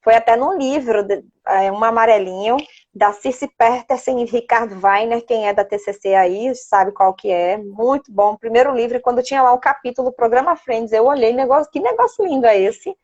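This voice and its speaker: High-voiced Bahian woman, dull recording. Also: moderate pace, darkish tone. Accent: Bahian Brazilian Portuguese. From Bahia, Brazil. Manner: chatty, prone to laughing, checking with "né". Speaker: interview guest, child psychologist. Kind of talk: casual conversational interview.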